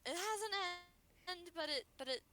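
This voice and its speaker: A woman's voice: very high-pitched, lively intonation, moderate pace, a crowd in the background. A woman chatting casually as a co-commentator on a game stream. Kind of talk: casual chat.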